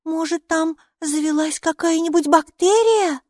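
A woman voicing a lively, stubborn, inquisitive little girl in a children's audiobook, speaking emphatically. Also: very high-pitched, a little slow, no echo, clean studio sound, pitched above the performer's own voice. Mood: earnest. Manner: emphatic, excitable, matter-of-fact.

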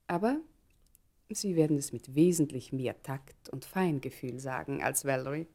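A woman's voice: rumbling low, moderate pace, dark tone. An actress in a German radio play voicing a shrewd, poised society woman with a polite, knowing irony. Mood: knowing, ironic.

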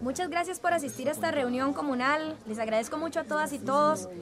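Woman acting a high-voiced earnest community leader. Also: fast talker, music in the background, natural voice. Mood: formal, appealing. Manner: placating, insistent appeals for calm. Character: earnest female community leader chairing tense town meeting. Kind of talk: radio drama acting.

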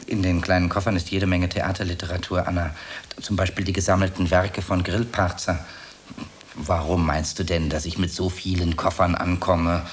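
An actor playing an earnest, pedantic bank clerk in love, hesitant and plaintive, repeating himself in a German radio play. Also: male voice, low voice, moderate pace, close to an everyday voice. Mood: eager. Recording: steady background hiss.

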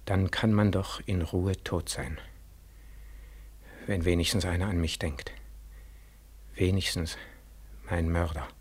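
An actor in a 1940s German radio drama playing a dead war returnee, a mournful, gently accusing ghost who speaks slowly and solemnly with heavy pauses. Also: male voice, sits low, husky, heavily acted. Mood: soft, weary pleading. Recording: 1940s radio studio recording.

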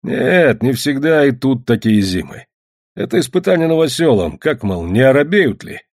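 A man's voice: medium pitch, very animated, moderate pace, a little mellow, dry recording, clean studio sound, voice pushed up. A man voicing a cheerful, self-assured taiga hunter in an audiobook, talking in a folksy, confident way. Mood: reassuring. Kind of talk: acted audiobook dialogue.

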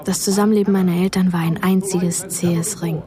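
A woman's voice: fairly low pitch, flat delivery, a little fast, a crowd in the background, natural voice. A woman narrating a son's sober childhood memories in a radio drama, restrained and matter-of-fact. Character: James Ellroy's childhood self, soberly recalling his parents.

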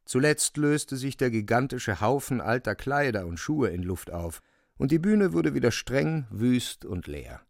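A man's voice: medium pitch, lively intonation, measured pace, creaky, no echo, studio quality. A man narrating a radio drama in a scripted, theatrical storytelling style.

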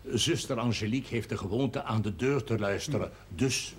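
A man's voice: mid-range voice, close to an everyday voice. Man acting a wry senior clergyman, noisy recording. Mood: wry, courteous. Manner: measured, teasing, formal. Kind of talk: television period drama acting. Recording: noisy old television soundtrack.

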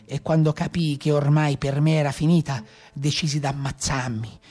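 A man performs a legendary outlaw telling of his own death from beyond the grave. He speaks with a Tuscan accent in a grave, deliberate way, as in a stage monologue. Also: fairly high pitch, steady tone, darkish tone, natural voice. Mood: tense, defiant. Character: dead legendary male Maremma brigand recounting his own death. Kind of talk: dramatic monologue in narrative theatre.

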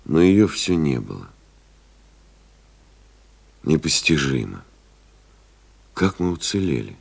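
Deep, slow-spoken weary pilot. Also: male voice, very animated, vocal fry, breathy edge, heavily acted. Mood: weary, grave. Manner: grave, deliberate, brooding, with pauses. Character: weary, stoic male pilot stranded in the desert. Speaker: actor narrating in first person. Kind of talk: dramatic literary audio play narration. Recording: music behind the voice.

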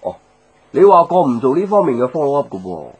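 Radio drama colleague: a supportive, relaxed young businessman, slightly rough-edged voice, lively pitch, Hong Kong Cantonese. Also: brisk, low-quality recording. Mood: casual, obliging. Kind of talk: radio drama dialogue, casual.

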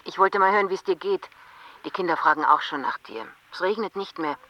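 Eine Schauspielerin spielt in einem Hörspiel eine besorgte, liebevolle Ehefrau, die sanft neckend und drängend aufs Band spricht. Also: female voice, on the low side, animated, fairly quick, sharp tone. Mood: concerned, coaxing. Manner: conversational, coaxing. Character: concerned, affectionate wife, gently teasing. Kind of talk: radio drama, answering-machine message. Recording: dull band-limited answering-machine sound.

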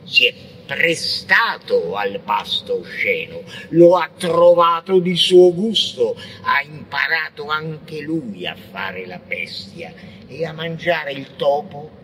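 An actor playing a frenzied, blasphemous visionary poet in an avant-garde radio monologue, declaiming theatrically and lurching between whispers and shouts. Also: male voice, a little high, lively intonation, slow, slight background noise, heavily acted. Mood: bitter, mocking. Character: frenzied, blasphemous visionary poet ranting against God and man.

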